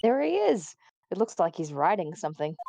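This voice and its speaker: Woman playing an animated, eager young sidekick. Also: lowish voice, fairly quick, creaky, background noise. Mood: supportive. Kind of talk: live fan dub of a visual novel game.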